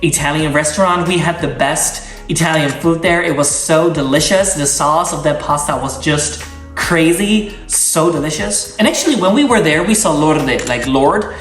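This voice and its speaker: A man's voice: a little high, normal speed, fairly bright tone, soft background music. Young Dutch-accented male vlogger, eager and chatty, recorded roomy from a few feet away. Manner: enthusiastic, gushing, with many fillers and restarts. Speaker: travel vlogger. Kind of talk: casual travel-guide talk. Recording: roomy sound, mic at a distance.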